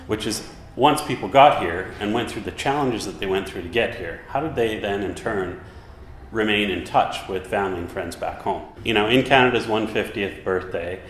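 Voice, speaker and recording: Man, lowish voice, thoughtful explainer, faint background noise. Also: normal speed. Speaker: exhibit organizer. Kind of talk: interview explanation. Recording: echoey with faint ambience.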